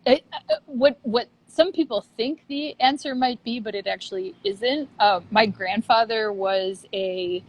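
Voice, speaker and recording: A woman's voice: on the high side, measured pace, low-quality recording. A woman chatting casually and cheerfully as a guest in an online video interview. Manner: upbeat, chatty. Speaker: puppet theater director, interview guest. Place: at the theater, moving between outside and lobby on a video call. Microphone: phone or laptop on a video call.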